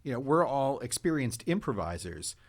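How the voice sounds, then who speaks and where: A man talks in a casual panel discussion. His voice has an ordinary middle pitch, and he explains things conversationally, as an experienced improv actor. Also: very animated, moderate pace.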